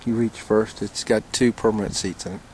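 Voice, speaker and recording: A man with an American accent casually narrating his outing on a tidal creek, halting often with "uh", recorded outdoors on a handheld camera mic. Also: fairly low pitch, a little hiss or hum. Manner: relaxed, halting, many "uh" fillers.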